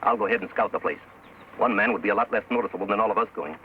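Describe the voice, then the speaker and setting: An actor playing a gruff, grim wounded frontier scout with a husky, terse delivery in an old-time western radio drama. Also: male voice, on the low side, racing, a little hiss or hum, close to an everyday voice. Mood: determined. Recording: tinny, muffled old radio recording.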